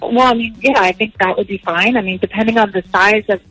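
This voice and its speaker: A woman's voice: mid-range voice, fairly even intonation, fast, a bit bright, husky, faint music behind. A woman in her thirties chatting casually as a parent on a phone call, with a questioning manner. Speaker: parent receiving a prank call.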